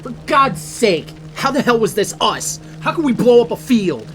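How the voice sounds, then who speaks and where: A man's voice: very high voice, big pitch swings, normal speed, soft background music. A man playing a rattled, argumentative teenage boy in an audio drama, exasperated and sweary.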